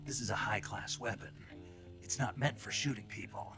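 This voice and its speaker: Man acting a gravelly, terse seasoned soldier. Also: mid-range voice, normal speed, over music, lightly acted. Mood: stern, instructive. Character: calm, seasoned male soldier, terse and instructive. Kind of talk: video game cutscene acting.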